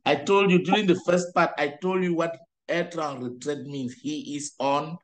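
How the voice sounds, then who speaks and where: A man's voice: on the high side, fairly even intonation, moderate pace. A man tutoring English one-on-one online, patiently correcting a learner and explaining words in French.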